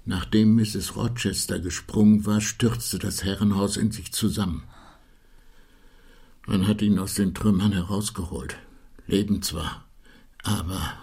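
Deep gravelly man bearing grim news. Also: steady tone, a little slow, long pauses, a little mellow, heavily acted. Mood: grave, reluctant. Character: grave male local bearer of grim news. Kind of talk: German radio play acting. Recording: studio radio play with music.

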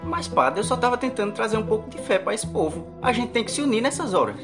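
A woman's voice: a little high, sing-song, quick pace, over music. A woman playing a cheeky village trickster in a radio drama, pleading and self-justifying.

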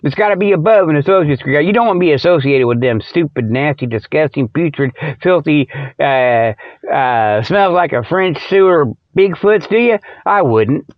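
High-pitched folksy man, dull lo-fi sound. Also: lively intonation, normal speed, no room sound. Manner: folksy, ungrammatical, digressive. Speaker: host of a comedic Bigfoot radio show. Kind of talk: rambling informal comic monologue.

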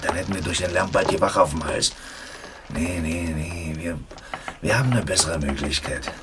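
Man acting a deep-voiced, resolute burly sailor, noisy recording. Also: lightly acted. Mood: calm, scheming. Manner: terse, unhurried, colloquial. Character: big-bellied, plain-spoken, resolute sailor prisoner plotting escape. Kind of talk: German radio play acting. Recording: noisy old radio play recording.

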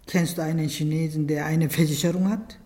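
A woman's voice: low-pitched, steady tone, a little fast, mellow, lightly acted. A weary mother played by an actress in a radio crime drama. She sounds resigned and speaks in terse, heavy phrases.

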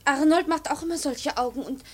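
A woman's voice: high voice, fairly quick, a little hiss or hum, close to an everyday voice. An actress playing a chatty, nervous young woman in a radio play, coy and affectedly genteel. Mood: anxious. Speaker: radio drama actor. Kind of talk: German radio play acting.

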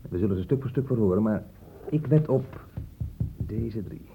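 An actor playing a dry, worldly police inspector in a Dutch radio play, measured and wry. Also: male voice, lowish voice, expressive, background noise. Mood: wry, assured. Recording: muffled, dull old radio recording.

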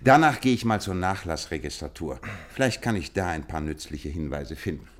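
A man acting a cool, analytical detective in a radio play, speaking in a measured, dryly ironic way. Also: low voice, lively intonation, faint music behind. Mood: composed, wry.

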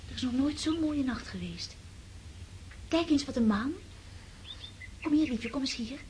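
An actress playing a dreamy, impulsive, affectionate teenage countess in a Dutch radio drama. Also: female voice, sits high, lively intonation, a little slow, darkish tone, slight background noise. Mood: enraptured.

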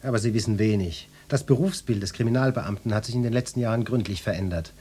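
Cocky, low-voiced macho cop. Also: male voice, quick pace, a little mellow, husky. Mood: curt, self-important. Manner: brusque, swaggering, mock-official. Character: cocky, macho male police detective, brusquely flirtatious. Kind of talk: radio play acting.

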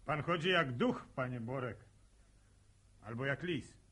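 Husky, prickly young village suitor. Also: male voice, mid-range voice, measured pace, sharp tone. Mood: irritated, curt. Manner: gruff with rivals, awkwardly polite to woman. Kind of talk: Polish radio drama acting.

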